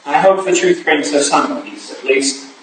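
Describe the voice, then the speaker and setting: A man playing a modest, sensible young Victorian gentleman, polite and measured, in a staged drama reading. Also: on the high side. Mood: polite sobriety. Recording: echoey hall, distant mic, faint noise.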